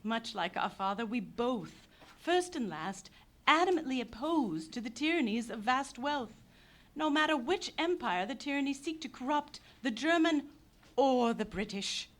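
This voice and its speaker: A woman's voice: high voice, lively intonation, unhurried, fairly bright tone. Actress voicing a fiery young pacifist Englishwoman. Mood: somber. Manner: impassioned, declamatory. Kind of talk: live stage radio play acting.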